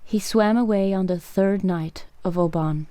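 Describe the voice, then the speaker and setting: A woman acting a mellow, mysterious island rescuer. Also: medium pitch, no room sound, lightly acted. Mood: solemn, sorrowful. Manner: calm, measured storytelling. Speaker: actor in a radio play. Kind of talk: radio drama acting.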